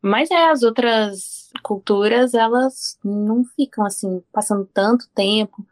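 A woman's voice: medium pitch, unhurried. Woman telling stories animatedly. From Brazil. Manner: storytelling, lively quoting. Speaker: flight instructor guest. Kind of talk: casual podcast conversation.

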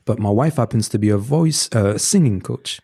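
A man with a French accent, whose first language is French, earnestly giving relationship advice as a guest in a conversational interview. He hesitates often, restarting phrases and filling pauses with "um" as he works through his points. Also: on the low side, expressive, mellow, husky. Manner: earnest and advising, with frequent fillers and restarts. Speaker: podcast guest.

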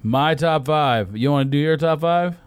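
A man's voice: fairly high pitch, normal speed, clean tone, dry recording. An American man hosting a casual podcast, chatting and teasing his friends in slangy talk. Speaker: podcast host. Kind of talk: casual banter among friends about sneakers.

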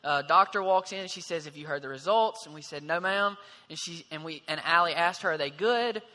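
A man in his twenties earnestly telling his story, pausing with fillers. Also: a little high, bright, forceful tone, long reverb tail. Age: 20s. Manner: measured, pauses with fillers. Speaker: husband sharing testimony.

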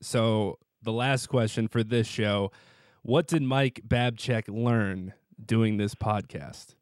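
A man's voice: fairly low pitch, takes it slowly, no room sound, clean studio sound. A man hosting a podcast, reading prepared interview questions with a fan's eagerness. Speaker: podcast host.

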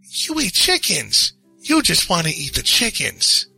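A man's voice: high voice, big pitch swings, moderate pace, pushed and bright, faint music behind, voice pushed up, full character voice. A man voicing a six-year-old farm girl in a storybook reading, speaking warily and like a child. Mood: wary, childlike. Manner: childlike, wary.

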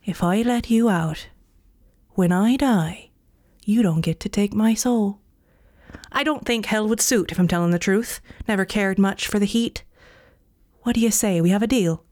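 A smug, fast-talking trickster man, put on by a woman storyteller, coaxing and goading with a slippery, self-pleased charm. His voice sits higher than her own, sometimes dropping to a sly whisper. It is a close, dry storybook reading. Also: a little mellow, dry recording, lightly acted. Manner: wheedling, goading. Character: sly silver-tongued male trickster, smug.

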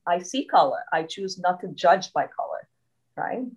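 A woman's voice: on the low side, lively intonation, normal speed, muffled recording. A woman from Trinidad with a subtle Trinidadian accent, a coach speaking as a podcast guest in a reflective way, often adding "right?". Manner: reflective, frequent tag "right?". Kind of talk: conversational interview.

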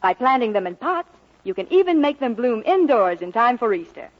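A woman's voice: a little high, big pitch swings, a little fast. A woman acting a delighted flower lover in a scripted 1940s radio commercial. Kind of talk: 1940s radio advertising read. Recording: dull old 1940s radio recording.

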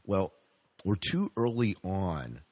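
A man's voice: low-pitched, sing-song, slow pace, a little mellow, husky, no echo. A man co-hosting a podcast, chatting casually in an enthusiastic, rambling way as a fan of sci-fi shows. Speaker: podcast co-host.

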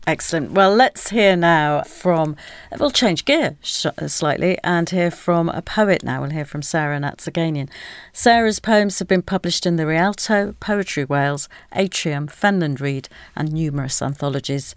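Brief: woman host, very low voice, dry close sound, measured introductions. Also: animated, dry recording. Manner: measured, clear presenter delivery. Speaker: arts programme host. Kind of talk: radio interview and introductions.

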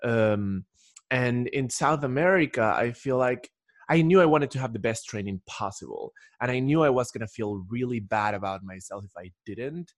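Man from Ecuador, mid-pitched voice, casual podcast storytelling, rambling with restarts, close dry sound. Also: normal speed, dry recording. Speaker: podcast guest, doctor.

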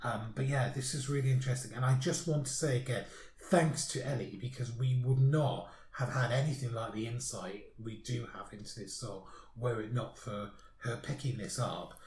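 A man's voice: medium pitch, normal speed, a little mellow, slight background noise. A man giving thoughtful, conversational commentary as a podcast panelist. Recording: echoey room sound.

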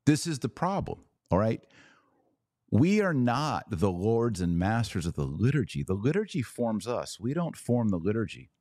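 A man's voice: on the low side, very animated, normal speed, a little mellow, no echo, studio quality. A man hosting a podcast, giving opinionated commentary with emphatic, repeated phrases. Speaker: podcast host.

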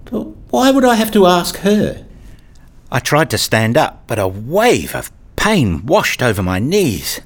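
A man's voice: a little high, huge pitch swings, a little slow. A man reading scripted first-person narration for an audio play, slipping into acted dialogue as a father.

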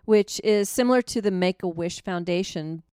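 A woman's voice: fairly low pitch, normal speed, warm tone, smooth, no echo, clean studio sound. A woman co-hosting and interviewing in a casual, chatty style. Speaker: podcast co-host and interviewer. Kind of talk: casual friendly chat.

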